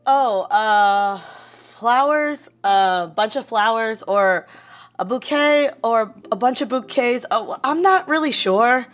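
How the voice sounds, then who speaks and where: A woman's voice: a little high, animated, takes it slowly, slight background noise. An actress playing an awkward, guarded young woman in an audio sitcom, blunt about her own messy life. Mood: flustered. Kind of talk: sitcom audio drama acting.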